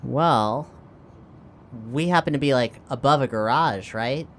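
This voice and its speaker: A man's voice: mid-range voice, measured pace, slight background noise. A man chatting informally and inquisitively as a player in a tabletop roleplaying game. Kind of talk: casual improvised game chat.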